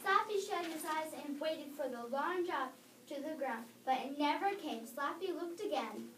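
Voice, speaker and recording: Child reader, high voice, halting storybook delivery, captured from across an echoey room. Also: expressive. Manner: halting read-aloud with stumbles and corrections. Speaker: reader in a readers theater performance. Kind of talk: scripted story reading aloud. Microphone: distant microphone. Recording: roomy, distant microphone, noticeable background noise.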